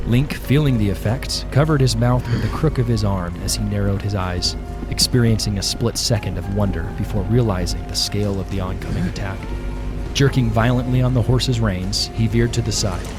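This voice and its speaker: A man narrating a scripted fantasy audio drama in a measured storytelling manner. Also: lowish voice. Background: background music.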